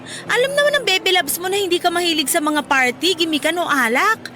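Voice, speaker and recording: Radio drama role: scolding young Filipina confidante, piercingly high and bright, blunt but protective. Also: female voice, animated, fairly quick, faint music behind, exaggerated voice. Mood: nagging, concerned.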